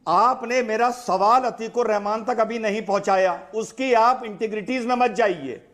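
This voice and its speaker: A man's voice: high voice, moderate pace, a bit bright. A man arguing persistently as a panelist in a TV debate. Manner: pressing, persistent. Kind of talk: argumentative TV debate.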